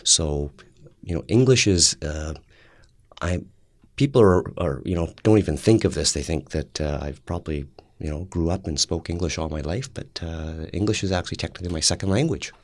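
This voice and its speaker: A man in his fifties who grew up speaking Cantonese talks as an interview guest, pausing and restarting as he reflects. His voice sits extremely low. The sound is dry and close, with no room echo. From Canada. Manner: thoughtful, halting, with frequent fillers and restarts. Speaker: interview guest, public servant. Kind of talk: casual interview chat.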